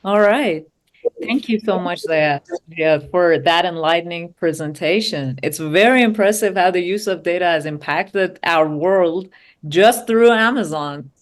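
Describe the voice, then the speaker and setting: A woman hosting an online seminar, thanking the speaker and asking formal, scripted-sounding interview questions. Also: on the low side. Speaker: interviewer, host.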